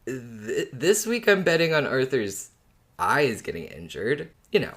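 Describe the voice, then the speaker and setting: A man hosts a fan podcast with a very animated, swooping delivery. He talks in playful bro slang and puts on a mock-dramatic tone as he chats and recaps. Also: medium pitch, measured pace. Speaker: podcast host.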